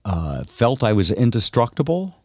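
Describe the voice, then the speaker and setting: A man hosting a casual radio show, bantering in a wry, deadpan way. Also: medium pitch, normal speed, no room sound.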